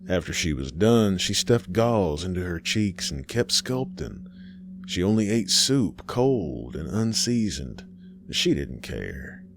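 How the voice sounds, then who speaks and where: A man telling a scripted horror tale as a folksy fireside storyteller and host, with dramatic pauses. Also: low voice, big pitch swings, noisy background.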